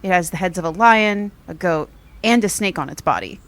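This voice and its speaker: A woman's voice: lowish voice, animated, brisk, fairly bright tone. A woman playing a level-headed, knowledgeable young woman in an audio drama, speaking in a dry, matter-of-fact way. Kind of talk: audio drama dialogue, conversational.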